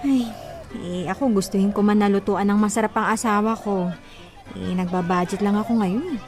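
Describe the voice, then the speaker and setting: A woman's voice: mid-range voice, normal speed, close to an everyday voice. Radio drama: actress as a guarded, budget-strapped wife who shrugs off concern, some music under her. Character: defensive married woman on a tight budget.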